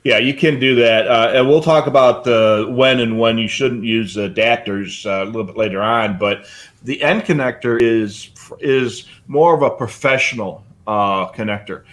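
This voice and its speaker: Man, medium pitch, casual technical explainer, plainspoken, practical, live show co-host. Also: normal speed, fairly bright tone.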